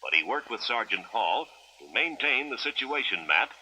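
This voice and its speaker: A man's voice: mid-range voice, sing-song, normal speed, pushed and bright, a little hiss or hum, poor audio. An American man reading formal, clinical narration for an educational film in the style of the 1960s, on an old film soundtrack.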